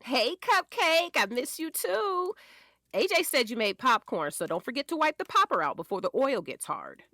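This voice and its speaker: An actress as a sassy wife and mother in her forties in an audio drama. Her delivery swings widely in pitch, playful yet firm and doting. The sound is close and dry. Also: big pitch swings, moderate pace, pushed and bright, no echo, exaggerated voice. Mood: affectionate. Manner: sassy, teasing. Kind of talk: naturalistic audio drama acting.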